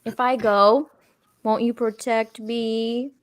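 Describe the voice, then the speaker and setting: A woman's voice: fairly high pitch, little pitch movement, takes it slowly, no room sound, studio quality. A woman playing an anxious, questioning underling at a comedic table read. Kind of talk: comedic live table read of a fan script.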